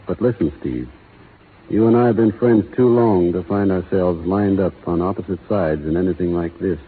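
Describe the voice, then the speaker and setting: An earnest, steady cowboy with a slow western drawl. His voice is low and husky, heard through a narrow, tinny old radio broadcast. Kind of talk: 1930s radio drama acting.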